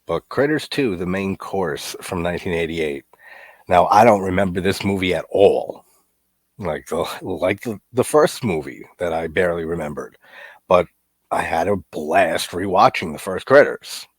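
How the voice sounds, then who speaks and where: Low-voiced congested man rambling about movies. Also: moderate pace, slightly rough, no room sound. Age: 30s. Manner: rambling, filler-heavy, swears casually. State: congested, getting sick. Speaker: horror film reviewer. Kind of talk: casual movie commentary.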